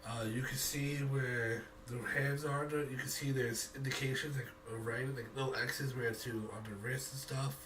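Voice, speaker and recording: A man's voice: mid-range voice, fairly even intonation, normal speed, few pauses. A man running a tabletop game, improvising narration and rulings as game master. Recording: distant mic, faint background noise.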